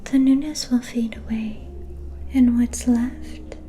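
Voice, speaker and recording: A woman playing an enigmatic, all-knowing vampire seer in a whispered ASMR roleplay, calm and ominous, speaking in cryptic, deliberate phrases with long pauses. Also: on the high side, soft background music. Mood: cryptic warning. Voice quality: breathy, unvoiced whisper.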